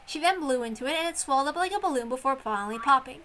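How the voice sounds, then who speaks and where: A woman's voice: high voice, lively intonation, very fast, fairly bright tone, slight background noise. A woman reading a visual novel aloud in a dramatic storytelling style. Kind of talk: dramatic story narration with character voices.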